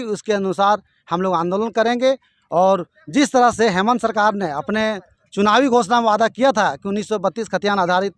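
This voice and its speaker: A man's voice: sits high, a bit bright, no echo, clean studio sound. A man with a Jharkhand Hindi accent, an activist making an emphatic political statement with repeated, oratorical phrases. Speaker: protest activist.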